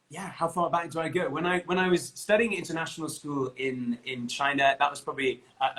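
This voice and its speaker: A man's voice: medium pitch, pushed and bright, low-quality recording. A young man with an English accent, chatting as an interview guest over a video call, laughing often and restarting his sentences. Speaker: interview guest, bagpipe player. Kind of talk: casual chat.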